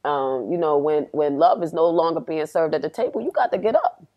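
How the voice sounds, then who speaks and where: A woman's voice: a little fast, lo-fi sound. A young adult woman, a rapper guest, from Pennsylvania and raised in Texas, talking casually and acting out what people said. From Pennsylvania, grew up in Houston, Texas. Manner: animated storytelling, acts out quotes with rising pitch. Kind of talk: casual podcast conversation.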